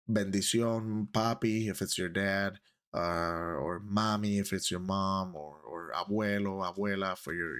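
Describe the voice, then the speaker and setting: A man's voice: low voice, a bit bright. Chatty Puerto Rican man in his thirties. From Puerto Rico. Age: 30s. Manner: chatty, rambling, switches into Spanish phrases. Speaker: YouTube creator. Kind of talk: casual talk to camera.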